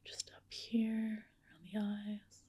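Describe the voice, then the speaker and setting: A woman whispering gently and reassuringly in a breathy voice, playing a spa clinician in an ASMR roleplay. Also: mid-range voice, completely flat delivery, slow pace.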